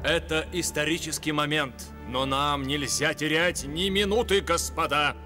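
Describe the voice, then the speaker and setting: A man voicing a brave, courteous gentleman lion who leads an expedition in a dubbed cartoon. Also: on the high side, a little slow, music in the background, lightly acted. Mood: urgent. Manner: decisive, courteous.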